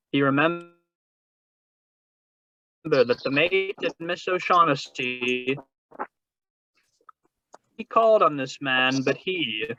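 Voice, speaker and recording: A man's voice: a little high, bright, forceful tone, exaggerated voice. Man playing a florid, courtly crime boss, muffled audio. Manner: florid, courtly, chuckling, mannered speech. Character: genteel, scheming, jovial older male crime boss. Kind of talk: 1940s radio drama acting. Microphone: video call. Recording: muffled, noisy video-call audio.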